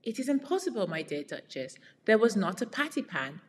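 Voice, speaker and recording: A woman's voice: mid-range voice, sing-song, fairly quick, slight background noise, pitched above the performer's own voice, close to an everyday voice. A woman voicing a prim, firm female cat hostess in a storybook, polite but severe. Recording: very reverberant room.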